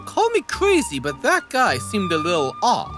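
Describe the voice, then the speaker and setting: Worried cartoon kid, played by a woman: plaintive, dry, extremely variable pitch, music clearly behind. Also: huge pitch swings, moderate pace. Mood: dry doubt. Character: earnest, worried child adventurer longing for home. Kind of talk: comedic animated parody voice acting.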